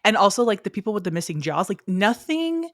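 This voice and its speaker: A woman's voice: mid-range voice, very animated, fairly quick, dry recording, clean studio sound. A young woman co-hosting a podcast, chatting casually with her sister and rambling with lots of "like"s and restarts. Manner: rambling, filler-heavy, many "like"s and restarts. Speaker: podcast co-host. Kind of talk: casual chat between sisters.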